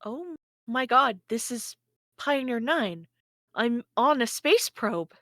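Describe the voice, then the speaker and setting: Woman acting a confused space probe, high voice, anxious, faltering delivery, dry studio sound, podcast drama. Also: lively intonation, takes it slowly, pushed and bright, no room sound, exaggerated voice. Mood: panicked. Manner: hesitant, stammering, overwhelmed. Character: bewildered newly awakened space probe, anxious, childlike, pleading. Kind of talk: dramatic table read for a podcast.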